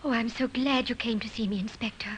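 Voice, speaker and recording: An actress playing a genteel, fluttery, sweetly evasive widow in a radio drama, with a hesitant, gracious manner. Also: female voice, a little high, fairly even intonation, quick pace, close to an everyday voice. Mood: nervous, gracious. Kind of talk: old-time radio drama acting. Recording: dull, noisy vintage radio recording.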